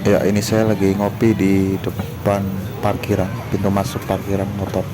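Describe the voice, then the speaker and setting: A man with a hoarse voice, chatting casually through a travel vlog at a train station. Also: sits low, moderate pace. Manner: relaxed, chatty commentary on surroundings. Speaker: travel vlogger. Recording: loud background music.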